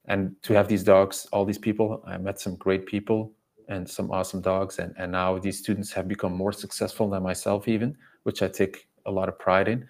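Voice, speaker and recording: A man's voice: low voice, level tone, normal speed, darkish tone. A man speaking as a dog coach, explaining at length and emphatically in casual conversation. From Belgium. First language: not English. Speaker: dog trainer and coach, podcast guest.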